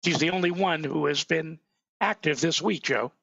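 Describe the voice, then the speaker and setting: A man co-hosting a live weather show, hoarse and losing his voice from a cold, talking in a relaxed, low-key way. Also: moderate pace, dry recording, muffled recording. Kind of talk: casual live weather talk.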